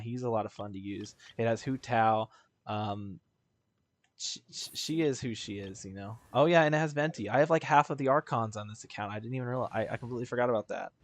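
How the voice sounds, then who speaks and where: A man's voice: lowish voice, no echo. A man chatting casually as he streams a video game, rambling in a slangy, jokey way. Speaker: live streamer.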